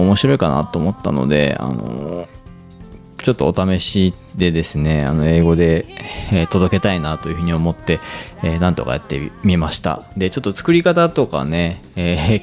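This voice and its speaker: Low-voiced, quick-talking man hosting a podcast. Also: a little mellow. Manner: hesitant, polite. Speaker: podcast host. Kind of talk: casual spoken podcast outro.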